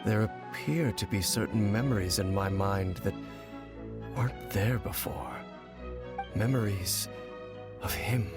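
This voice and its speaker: A man voicing a grave, secretive wanderer in a video game, speaking in a measured, formal way. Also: fairly low pitch, close to an everyday voice. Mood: troubled. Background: game soundtrack music.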